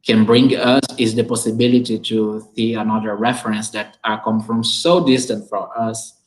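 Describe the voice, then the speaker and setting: A man with a Brazilian Portuguese accent hosting an online chat from home, speaking halting English and laughing often. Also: lowish voice, level tone. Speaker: host. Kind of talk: online conversation, relaying audience comments. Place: at home, video call.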